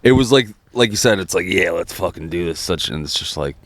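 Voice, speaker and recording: A man's voice: low voice, lively intonation, brisk, a bit bright, no room sound. A man in his thirties from New Jersey, co-hosting a podcast in casual, profane chat while smoking a cigar. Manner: laid-back, profane storytelling. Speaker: podcast co-host.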